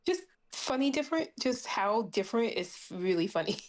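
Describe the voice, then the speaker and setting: A woman's voice: on the high side, sing-song, moderate pace, poor audio. A woman chatting casually as a player in a tabletop game. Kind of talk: casual chat.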